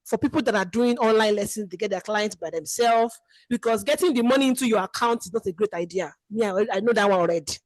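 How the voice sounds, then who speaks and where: A woman's voice: mid-range voice, fast, no echo. A woman asking an earnest question on a mic, talking her situation through at length. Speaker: webinar host asking a question. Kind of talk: asking a question in an online session. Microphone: separate mic.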